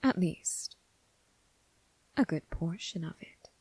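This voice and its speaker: A dangerous, seductive lady mob boss voiced by a woman murmurs close and dry into the mic. Her voice is dark and husky, often sinking toward a whisper. She teases with formal, deliberate phrasing, predatory and coolly amused, in an intimate ASMR roleplay. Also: lowish voice, animated, dry recording, heavily acted, poor audio. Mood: resentful, predatory. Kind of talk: ASMR roleplay, scripted character acting.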